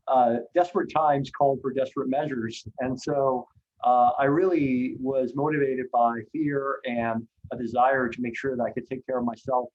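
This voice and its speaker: A man's voice: mid-range voice, darkish tone, lo-fi sound. A man in his fifties who grew up in Hong Kong, telling his career story as a guest speaker on a video call, full of "uh" fillers and candid about himself. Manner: frequent "uh" fillers, candid and self-deprecating.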